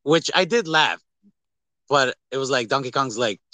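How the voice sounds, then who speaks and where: A man with a bright, crisp-edged voice of medium pitch talks as a podcast co-host. He rambles casually through his retellings and voices quoted lines as he goes. The sound is dry and close. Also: animated, moderate pace, no room sound. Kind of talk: casual movie discussion.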